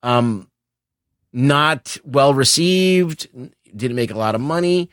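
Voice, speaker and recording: A man's voice: mid-range voice, lively intonation, slow pace, dry recording, clean studio sound. A middle-aged man chatting casually about films as a podcast co-host, circling back over his phrases and swearing now and then. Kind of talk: casual opinionated film chat.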